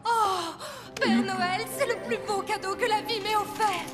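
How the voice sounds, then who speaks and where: Lovelorn cartoon woman, extremely high pitch, syrupy, melodramatic, loud music behind. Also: fairly even intonation, unhurried. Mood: tearful joy. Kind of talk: children's animated cartoon dub.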